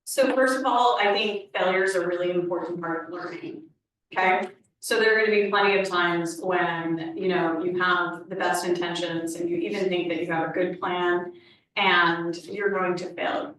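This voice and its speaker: A woman's voice: on the low side, steady tone, moderate pace, a bit bright, slight background noise. Woman, panelist, reflective, earnest, distant mic, hall reverb. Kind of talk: panel discussion answer. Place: community center hall. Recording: echoey hall from far off.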